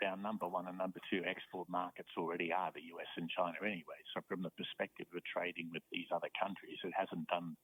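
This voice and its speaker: Australian man, low husky voice, professor, measured, explanatory, repeats words when starting. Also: little pitch movement, fairly bright tone, rough recording. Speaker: university professor guest. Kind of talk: radio interview, explaining politics.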